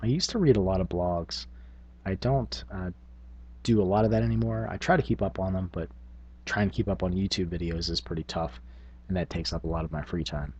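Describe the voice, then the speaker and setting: A man's voice: low-pitched, a little fast, darkish tone, breathy edge. A middle-aged man talking informally and reflectively as a channel host, hesitating and thinking aloud as he goes. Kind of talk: casual reflective vlog on tabletop gaming.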